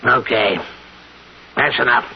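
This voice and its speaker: Husky, crotchety old rich man. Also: medium pitch, steady tone, takes it slowly, exaggerated voice. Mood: scornful, impatient. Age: 70s. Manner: gruff, impatient, dismissive. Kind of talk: old-time radio drama acting. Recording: dull lo-fi old radio recording.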